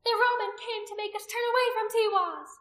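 A woman voicing a venomous, imperious pagan high priestess in an audiobook, declaiming accusations as if to a crowd. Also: steady tone, moderate pace, a bit bright, echoey room. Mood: fiery, disdainful. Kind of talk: dramatic audiobook character acting.

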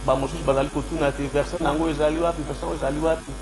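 A man's voice: on the high side, flat delivery, noisy background. A man who is a pastor, preaching unhurriedly on a studio microphone, with a cold. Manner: unhurried, quotes scripture, mixes French and Lingala. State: has a cold. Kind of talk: preaching on a radio call-in show. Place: radio studio. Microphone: studio mic with headphones.

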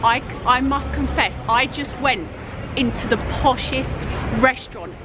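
British woman, high voice, chatty excitable vlogger, casual delivery, busy crowd behind her. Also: unhurried, poor audio. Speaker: family vlogger. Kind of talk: casual chat to camera. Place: outdoors on busy city streets. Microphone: handheld camera at arm's length. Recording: busy street crowd, loud background music.

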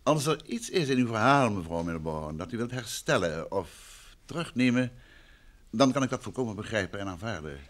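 An actor playing a composed, probing gentleman detective in an old Dutch radio play, polite, measured and formal in his questioning. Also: fairly low pitch, very animated. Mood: polite, firm.

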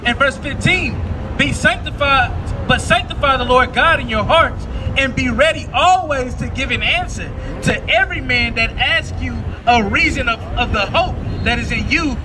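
High-voiced man reading scripture outdoors. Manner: measured, formal reading. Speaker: scripture reader. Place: city sidewalk outdoors. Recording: distant voice with street crowd noise.

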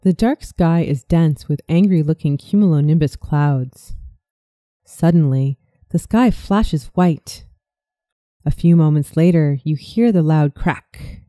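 A narrator reading a scripted guided visualization story in a soothing, measured manner. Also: medium pitch, warm tone, no room sound, studio quality.

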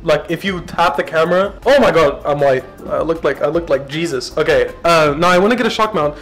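A young man vlogging casually, rambling and swearing, getting loud and excited at times. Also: a little high, brisk, faint music behind. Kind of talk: casual gym vlog talk. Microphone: camera mic.

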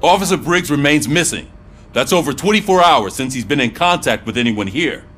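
An actor playing a firm middle-aged police sergeant in a game, briefing with authority. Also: male voice, medium pitch, expressive, fairly quick, a bit bright, slight background noise, close to an everyday voice. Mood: businesslike, firm.

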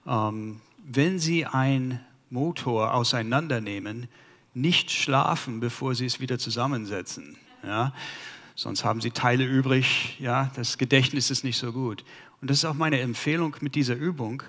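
A man in his fifties from the United States speaking German with an American accent and pausing to search for words, presenting to a conference audience on a headset mic in a large hall. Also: mid-range voice, a bit bright. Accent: American English. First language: English. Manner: halting, searches for German words, many fillers. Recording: long hall reverb.

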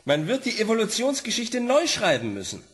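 A man's voice: high-pitched, huge pitch swings, fairly quick, a bit bright, full character voice. An actor playing an eager male biology professor who is easily flustered. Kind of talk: radio play acting.

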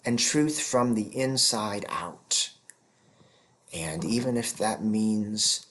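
Middle aged guy teaching scripture, kinda deep voice, really unhurried, leans on key words. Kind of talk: explanatory theology lecture.

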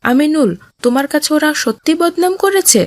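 A woman's voice: high-pitched, expressive, normal speed, no room sound. A woman voicing a kind, pious boy who earnestly admonishes others in a moral cartoon. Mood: concerned. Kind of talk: Islamic moral cartoon voice acting.

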